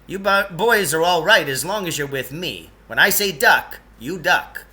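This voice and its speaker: A man's voice: on the high side, lively intonation, unhurried, sharp tone, voice pushed up, heavily acted. A man voicing a pompous, jittery eccentric guide in a storybook, with emphatic, florid delivery. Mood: ominous, pompous. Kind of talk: storybook character voice.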